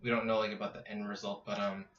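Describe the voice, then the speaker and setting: A man's voice: lowish voice, level tone, quick pace, fairly bright tone. A man co-hosting a casual tech podcast, talking in a loose, informal, conversational way. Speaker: co-host. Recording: echoey room, distant muffled mic.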